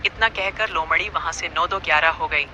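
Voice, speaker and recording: A man's voice: a little high, fairly quick, pushed and bright, muffled recording, a little hiss or hum. A man narrating a scripted animal fable as a storyteller.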